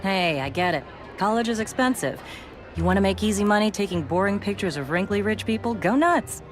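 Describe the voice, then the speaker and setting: A woman's voice: medium pitch, lively intonation, a little fast, soft background music, natural voice. An actress playing a bold young female reporter with a dry, wry way of talking.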